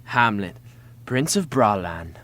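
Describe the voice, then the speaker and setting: Dramatic young party prince, very animated. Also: male voice, fairly low pitch, takes it slowly, exaggerated voice. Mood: mock-grand. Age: young adult. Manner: slangy, dramatic, boyish bravado. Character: young, dramatic, self-deprecating, tipsy party-boy prince. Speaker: actor in a full-cast audio drama. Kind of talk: modern romantic comedy audio drama, casual dialogue.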